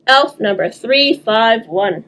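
A woman voicing an overworked, polite Christmas elf from a storybook, reading his letter in an expressive, deliberate way. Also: on the high side, full character voice. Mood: relieved, polite.

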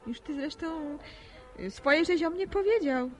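A woman's voice: high-pitched, lively intonation, unhurried, faint music behind. An actress playing a proud young village woman in a radio drama, coy and tart in colloquial rural speech. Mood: tart, wounded pride. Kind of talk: naturalistic Polish radio drama acting. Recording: radio drama with faint background noise.

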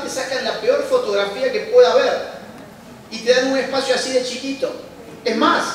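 A man's voice: extremely high pitch, fairly bright tone, slight background noise. A man, giving an emphatic public lecture and firing off rhetorical questions that he answers himself. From Argentina. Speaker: conference speaker. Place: large church hall used as a lecture venue. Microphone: handheld mic, recorded by a distant camera. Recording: echoey church hall heard from a distance.

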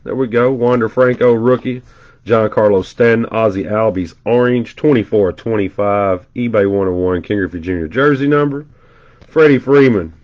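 Brief: congested male host, lowish voice, quick chatty card-break patter, dull lo-fi audio. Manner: rattles off player names rapidly, chatty asides. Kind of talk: casual live-stream commentary while opening packs. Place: indoor room at a table.

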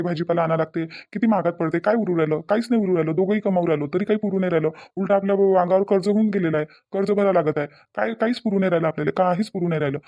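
A man playing an earnest, dutiful husband and son in a cartoon, coaxing and repeating himself in a Varhadi Marathi accent from Vidarbha. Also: high-pitched. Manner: repetitive, reasoning, persuasive. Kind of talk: cartoon dialogue. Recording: dull, lo-fi sound.

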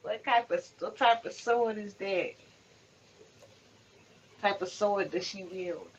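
A woman's voice: medium pitch, takes it slowly, a little hiss or hum. A young woman, chatting casually and slangily with a giggly, swearing manner. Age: young adult. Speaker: livestream panelist. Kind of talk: casual chat. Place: living room at home. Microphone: headset on a video call. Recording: dull, lo-fi video call audio.

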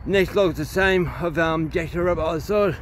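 A middle-aged man with a somewhat high-pitched voice talks in a plain, rambling way to his viewers. It is casual, unscripted vlog speech. He is recorded outdoors on a camera microphone, with faint noise around him. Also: normal speed. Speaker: chainsaw hobbyist presenting his video. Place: outdoors in an open wood yard. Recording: noisy outdoor recording with ambient hum.

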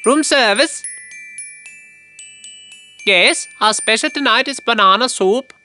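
An earnest, polite cartoon boy minding a hotel. The voice is fairly high and lilting, speaking slowly and courteously over music. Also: animated, pushed and bright, exaggerated voice. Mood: polite, businesslike. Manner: polite, earnest, service-minded. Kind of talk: children's cartoon acting.

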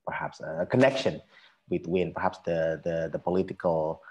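A man in his thirties with an Indonesian accent, answering as an academic panelist on a headset, politely and haltingly, often repeating himself. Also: deep voice, little pitch movement, darkish tone. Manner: hesitant, halting, repetitive, polite. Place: small room at home, video call.

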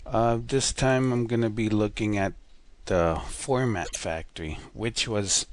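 A man with a very low voice talks through a screen-recorded software tutorial. His delivery is relaxed and rambling, full of small restarts and fillers, like someone explaining at his own desk. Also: measured pace.

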